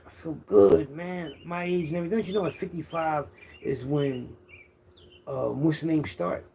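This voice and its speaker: A man's voice: fairly high pitch, moderate pace, vocal fry, warm tone. A man talks casually and self-assuredly in rambling, slang-heavy street monologue, boasting as he goes. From Philadelphia, United States. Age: 50s. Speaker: internet content creator. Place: outdoors, birds chirping behind. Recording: outdoor background noise with birdsong.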